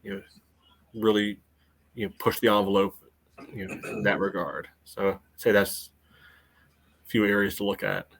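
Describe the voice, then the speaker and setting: An American man giving casual sports analysis in a halting way, often padding with filler phrases. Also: on the low side, flat delivery, a bit bright. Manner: halting, frequent "you know". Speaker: podcast panelist.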